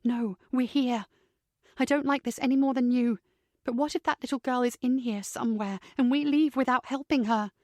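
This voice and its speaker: A woman's voice: high-pitched, fairly even intonation, normal speed, darkish tone, no echo, close to an everyday voice. A woman voicing a determined, insistent heroine in an audiobook thriller.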